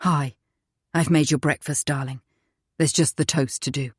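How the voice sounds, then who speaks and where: A woman's voice: low-pitched, fairly even intonation, moderate pace, slightly rough, no room sound, clean studio sound, voice pushed down. A woman voicing a moody, controlling young husband in an audiobook, curt and dismissive one moment, falsely sweet the next. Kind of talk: audiobook character voice.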